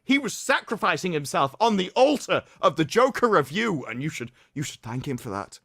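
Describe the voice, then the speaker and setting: A man's voice: on the high side, very animated, brisk, vocal fry, fairly bright tone, dry recording, clean studio sound. A man hosting a livestream, doing a mock-dramatic reading with commentary in a theatrical, sarcastic, mock-solemn manner. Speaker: livestream host.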